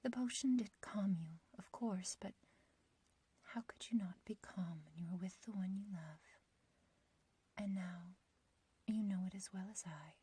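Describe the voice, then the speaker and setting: Girl doing a super breathy whispery witch, obsessed and loving, slow old-timey talk, asmr roleplay. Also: medium pitch, mellow, no room sound. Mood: adoring, triumphant. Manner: slow, intimate, archaic theatrical phrasing. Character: ancient, youthful witch, obsessively loving and possessive.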